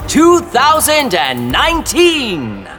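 An actor playing an excitable, pompous announcer in an audio drama, with a formal, declamatory manner. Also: male voice, extremely high pitch, slow pace, soft background music, exaggerated voice. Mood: grandly formal. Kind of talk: scripted audio drama acting.

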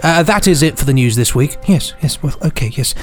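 A man's voice: a little high, expressive, a little fast, soft background music. A man hosting a podcast in a casual, bantering way, often repeating himself for effect. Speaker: podcast host. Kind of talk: casual, jokey podcast chat.

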